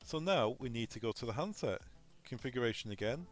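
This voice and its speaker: A man's voice: medium pitch, expressive, brisk, soft background music. A man presenting an unscripted technical tutorial, informally talking through each step aloud as he goes. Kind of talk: unscripted step-by-step software walkthrough.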